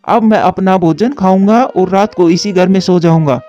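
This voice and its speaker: Guy doing a selfish lazy cartoon crow, high mellow voice, music behind, bit muffled. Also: moderate pace, natural voice. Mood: smug. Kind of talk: Hindi children's moral story cartoon dialogue. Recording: dull lo-fi track with music.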